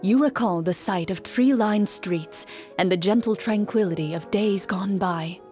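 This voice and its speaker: A woman playing a game master who narrates a fantasy adventure in a measured, theatrical storytelling manner. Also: medium pitch, dark tone, faint music behind, lightly acted. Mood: calm, evocative.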